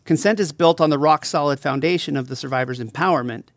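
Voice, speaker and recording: A man's voice: fairly high pitch, quick pace, creaky, no echo, studio quality. A man acting as a thoughtful advocate interviewed in an audio drama, explaining earnestly and didactically. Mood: earnest, explanatory. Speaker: interview guest.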